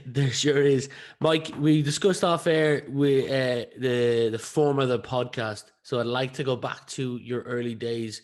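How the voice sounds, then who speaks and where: A man's voice: medium pitch, steady tone, a little slow, hardly any pauses. An Irish man hosting a podcast, putting questions to a guest in a conversational interview style. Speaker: podcast host.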